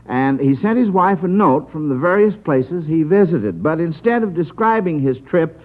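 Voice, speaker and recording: A folksy male quizmaster with a fairly high, very animated voice. He chats jovially as he puts questions to contestants on a thin, band-limited old radio broadcast. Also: narrow, tinny audio. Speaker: quiz show host.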